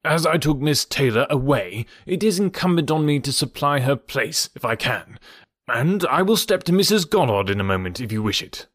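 Casting: male reader as genial period gentleman, hearty and insistent, very lively pitch, for a classic audiobook. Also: moderate pace, dry recording, clean studio sound. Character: hearty, sociable, persuasive English country gentleman. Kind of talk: audiobook character voice from a classic novel.

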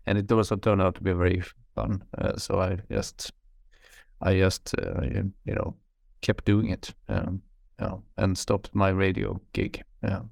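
A man talks about his academic work in a hesitant, halting way, full of restarts. His very deep voice is gravelly and nearly monotone. It is recorded close and dry. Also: no room sound. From Sweden. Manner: hesitant, self-deprecating, many "uh" and restarts. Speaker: author, media scholar. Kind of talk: academic interview answers.

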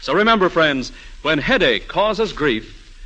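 40s radio ad announcer man, punchy and bright, voice goes up and down a lot, salesy. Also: fairly high pitch, sing-song, measured pace, low-quality recording. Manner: emphatic, salesmanlike. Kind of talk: 1940s radio commercial, scripted pitch.

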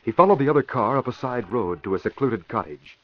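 A man's voice: mid-range voice, lively intonation, fairly quick. A man narrating a crime serial as announcer, in a scripted, dramatic old-time radio style. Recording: muffled vintage radio recording with music.

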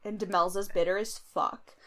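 A woman's voice: medium pitch, fairly even intonation, normal speed, a bit bright. A woman of twenty-eight co-hosting a podcast, recapping a TV show with wry, blunt, profane remarks. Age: 20s. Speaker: podcast co-host. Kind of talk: casual recap chat.

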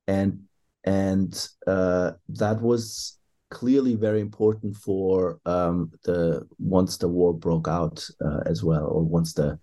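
A man, an author and historian, answering interview questions in a considered, hesitant way with many pauses and restarts. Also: low voice, mellow, husky.

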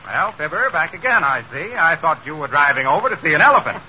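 A man's voice: on the high side, very animated, fast talker, bright, forceful tone. An American man announcing commercials on 1930s radio with a polished, emphatic sales delivery. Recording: muffled, noisy vintage radio broadcast.

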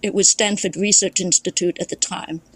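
A woman's voice: fairly low pitch, fairly even intonation, moderate pace, breathy edge. A woman from Thailand, a podcast guest and memoirist, recalling her family history in a reflective storytelling way, often restarting her sentences. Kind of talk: casual conversation.

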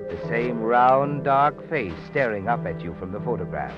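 A man's voice: medium pitch, expressive, normal speed, music in the background, natural voice. An actor playing an ominous, all-knowing narrator in old radio suspense, insinuating and dramatic as he addresses the hero. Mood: urgent, ominous. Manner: dramatic, insinuating, second-person telling. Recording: dull old radio recording, musical backing.

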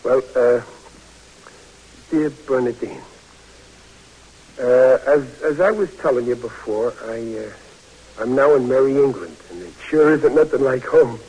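An actor playing a big, good-natured, bashful young army private, talking in a folksy, stammering way in 1940s radio drama. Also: male voice, mid-range voice, a little slow, long pauses, dark tone, slightly rough, rough recording. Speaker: soldier.

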